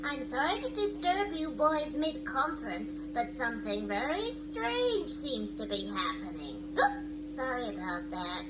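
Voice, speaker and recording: A flighty, girlish female space-crew voice, high and wildly swooping. The delivery is breezy and exaggerated, as in an old radio sci-fi comedy, with heavy noise. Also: sing-song, unhurried. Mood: breezy, apologetic. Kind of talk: 1980s radio science-fiction acting. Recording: very noisy 1980s radio drama recording.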